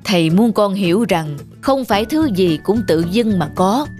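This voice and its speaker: A wise old bear wizard played by an actor from a children's cartoon. He is gentle and earnest, teaching with patience. Music plays behind him. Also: mid-range voice, animated, moderate pace, close to an everyday voice. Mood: concerned, kindly. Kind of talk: dubbed children's moral cartoon.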